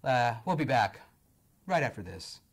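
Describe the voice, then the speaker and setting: Young male stream host, a bit hoarse, upbeat, lapel mic in a studio. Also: mid-range voice, sing-song, unhurried. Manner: upbeat, filler-heavy presenting. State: hoarse from long talking. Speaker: livestream host. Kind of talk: casual game-show chat. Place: indoor studio set.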